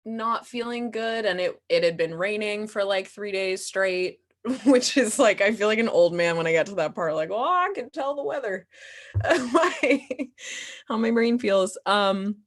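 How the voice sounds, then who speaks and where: A woman's voice: medium pitch, measured pace. A young woman answering questions as a guest performer in a casual Q&A, candid and self-deprecating, often laughing through her words. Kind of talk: casual audience Q&A.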